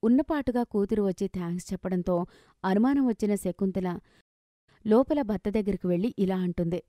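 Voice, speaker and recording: A woman's voice: medium pitch, a little fast, mellow, dry recording, studio quality. A woman narrator reading a scripted moral story.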